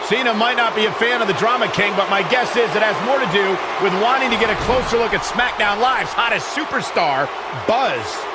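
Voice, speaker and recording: A man playing an excitable wrestling play-by-play announcer in a video game, speaking emphatically like a showman. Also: high-pitched, a little fast. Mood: upbeat. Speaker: wrestling commentator. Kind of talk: wrestling broadcast commentary. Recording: roaring arena crowd, loud noise. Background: loud arena crowd.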